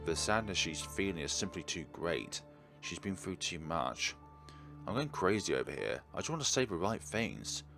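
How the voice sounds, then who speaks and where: A man with a deep, creaky voice narrates a visual novel playthrough. He reads dramatically and earnestly, with deliberate pauses, while music plays clearly behind him. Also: very animated. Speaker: video game playthrough host. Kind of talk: dramatic read-aloud of game text with his own commentary.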